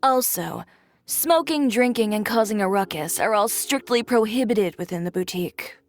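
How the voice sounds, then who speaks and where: A woman's voice: medium pitch, moderate pace, natural voice. Woman playing a cool, blunt young businesswoman. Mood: cool, businesslike. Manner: cool, curt, self-assured. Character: confident, blunt, businesslike young female boutique owner. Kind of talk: video game voice acting. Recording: music behind.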